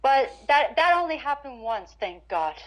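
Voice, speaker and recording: A woman singer chats loosely in an interview. Her voice sits a little high. She repeats words and restarts sentences as she goes. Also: big pitch swings, a little slow, poor audio. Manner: chatty, frequent restarts and stammers. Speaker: guest singer-guitarist. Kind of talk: casual interview chat.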